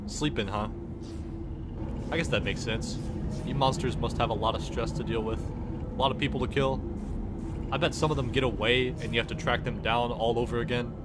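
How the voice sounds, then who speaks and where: A man's voice: medium pitch, fairly even intonation, moderate pace, pauses often, heavy background noise. A sarcastic, foul-mouthed man doing comedic commentary as a video game character. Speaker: gameplay series creator voicing the player character. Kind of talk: comedic improvised-sounding game dub commentary.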